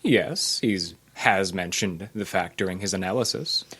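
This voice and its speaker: A man's voice: sits low, unhurried, sharp tone, slightly rough, heavily acted. A man playing a smug, condescending sanitarium doctor in an old-time radio drama, with an unctuous, theatrical delivery. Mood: smugly amused. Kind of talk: old-time radio acting before a live audience.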